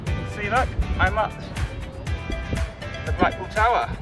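Man in his thirties, fairly high voice, chatty tour-guide commentary, action camera outdoors by the sea, loud music underneath. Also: a little slow. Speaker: running vlogger. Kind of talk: casual travel vlog narration. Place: outdoors on a seaside promenade. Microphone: action camera held or mounted while running.

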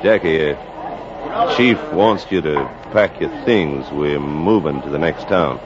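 An actor playing a worried, protective baseball coach, grave and terse, in a 1940s radio drama. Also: male voice, a bass voice, measured pace, crowd noise. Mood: worried, urgent. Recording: dull 1940s radio recording.

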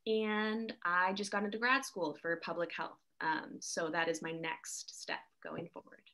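A woman's voice: on the low side, fairly even intonation, a little slow, bright, forceful tone. A woman introducing herself on a video call in a casual, easygoing way. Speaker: panelist.

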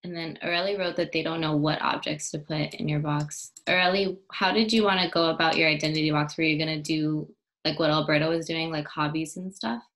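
A young woman on a laptop video call gently guiding a small-group discussion. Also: sits low, fairly even intonation, fairly quick, hardly any pauses. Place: bedroom with blue wall. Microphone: laptop webcam video call.

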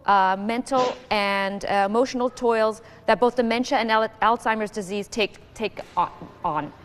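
A woman's voice: a little high, moderate pace, in a large hall. A woman co-hosting a TV interview in a studio, asking her question carefully and hesitantly. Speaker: TV co-host.

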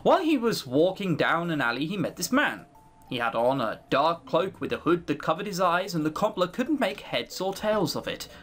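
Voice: medium pitch, very animated, normal speed, a bit bright, faint music behind. A game commentator voicing an offhand poor boy who tells a folk tale in blunt, slangy words. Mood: offhand storytelling.

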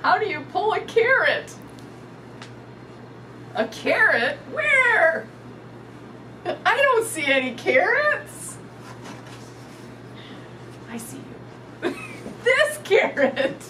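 A middle-aged woman reading a picture book aloud to young children in an animated, sing-song way. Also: very high voice, drawn-out words, long pauses. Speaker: storytime reader. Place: indoors in front of a curtain backdrop. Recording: distant mic with clear background noise.

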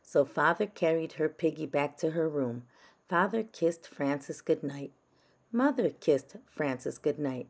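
Female picture-book reader: gentle read-aloud, low register, slightly gravelly creak. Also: unhurried. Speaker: storybook reader. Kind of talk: children's read-aloud narration.

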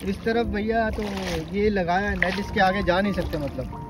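High-voiced excited man filming on phone. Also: moderate pace, heavy background noise. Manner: excited, chatty, nervous around crabs. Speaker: vlogger. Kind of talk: casual vlog commentary. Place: night shoreline, wading in shallow water.

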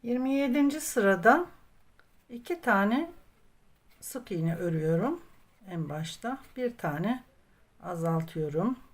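A woman guides listeners through a handicraft step by step. Her delivery is slow and methodical, at a middling pitch. She explains patiently, like a tutorial host. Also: animated. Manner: patient, methodical instruction, counting aloud. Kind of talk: step-by-step crochet tutorial narration.

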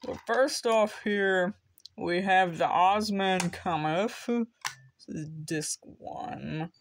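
A vlogger giving casual, rambling unboxing commentary in an offhand, halting way. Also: medium pitch, a little hiss or hum. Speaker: video host showing flea market finds.

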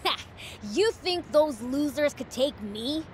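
Brash, high-pitched demon boy. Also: expressive, slow pace, a little hiss or hum. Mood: cocky. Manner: brash, boastful. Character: cocky, hot-headed young demon boy prince. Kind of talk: video game voice acting.

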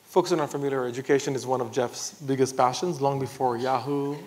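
A man in his thirties moderating an on-stage conference interview, piecing his questions together haltingly. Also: medium pitch, steady tone, darkish tone. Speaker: moderator, technology executive. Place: large conference stage, seated in armchair. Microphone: clip-on lapel mic.

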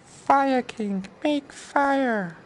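A man giving casual, playful and sweary live commentary while streaming a video game, with an excitable manner. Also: very high voice, drawn-out words, slight background noise.

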